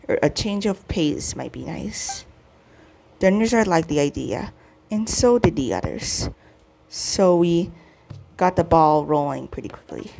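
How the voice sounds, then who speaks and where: A woman gaming streamer reading dialogue aloud during a playthrough, halting and stumbling, often repeating words. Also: lowish voice, warm tone, poor audio. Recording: game music behind the voice. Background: video game music.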